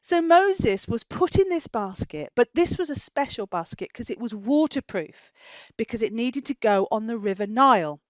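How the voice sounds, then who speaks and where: A British woman tells a children's story in a fairly high voice. She is animated and full of questions, drawing out important words. The sound is dry and a little dull. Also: moderate pace, dry recording. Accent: British English. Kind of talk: storytelling for young children, chatting with a puppet.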